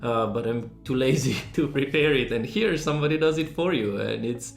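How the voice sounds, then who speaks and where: Relaxed man from Slovenia in an echoey room. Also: mid-range voice, moderate pace, creaky. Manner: relaxed, chatty, with fillers. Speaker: pixel artist and game developer. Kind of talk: casual interview answers. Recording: roomy sound with music underneath.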